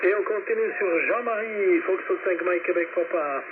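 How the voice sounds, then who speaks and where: A man's voice: high-pitched, moderate pace. A courteous, welcoming man hosting an amateur radio net, calling stations in turn over a radio transmission. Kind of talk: casual ham radio contact, calling on stations. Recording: narrow, very noisy shortwave radio signal.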